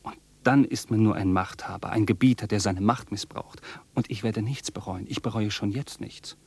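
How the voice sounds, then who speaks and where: A man's voice: lowish voice, moderate pace, a little mellow, husky. An actor playing an obsessive, defiant, imperious rich man in a German radio drama, declaiming and repeating his commands.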